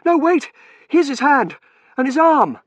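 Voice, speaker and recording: A man's voice: very high-pitched, very animated, measured pace, dry recording, voice pushed up, heavily acted. A man voicing a frantic young woman in an audiobook, urgent and crying out. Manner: urgent, shouted and screamed. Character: frantic young woman calling out in air raid.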